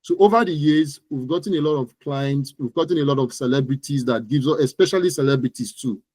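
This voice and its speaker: A Nigerian man speaks as an interview guest about his work. His voice sits at a middling pitch in a dry recording, and he stresses points by repeating his phrases. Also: fast talker, darkish tone, dry recording. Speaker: interview guest, digital marketing entrepreneur. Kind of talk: conversational interview about own business.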